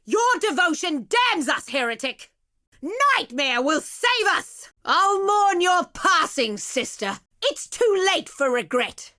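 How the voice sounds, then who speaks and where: A ruthless game villainess, high and forceful. She is imperious and theatrical, drawing out her taunts slowly with wide swings in pitch. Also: very animated, exaggerated voice. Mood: fierce, desperate. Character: arrogant, ruthless female villain fighter, scornful and fervent. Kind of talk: scripted video game combat lines.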